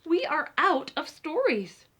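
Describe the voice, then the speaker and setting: Woman reading as patient, lively-voiced chicken papa. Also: high-pitched, takes it slowly, voice pushed up, exaggerated voice. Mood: weary patient. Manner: gently chiding, coaxing.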